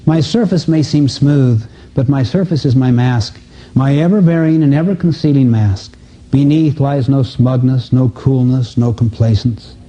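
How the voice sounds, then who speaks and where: A man reciting as an insecure, frightened soul, in an earnest, measured, confessional dramatic delivery on a dull lo-fi recording. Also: medium pitch, warm tone, lightly acted. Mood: pleading, vulnerable.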